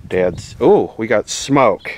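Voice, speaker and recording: A man speaks in a lowish voice at a very unhurried pace. His commentary is casual and unscripted, like a vlogger showing equipment hands-on. He rambles a bit, working things out aloud as he goes. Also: lively intonation, slight background noise. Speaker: vlogger demonstrating vintage radio equipment.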